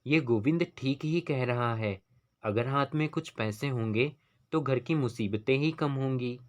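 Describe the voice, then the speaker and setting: A man acts a humble, kind young villager in a cartoon moral tale. He has a medium-pitched voice and an earnest, plain way of speaking. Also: unhurried, lightly acted. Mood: thoughtful, helpful. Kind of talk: scripted cartoon story dialogue.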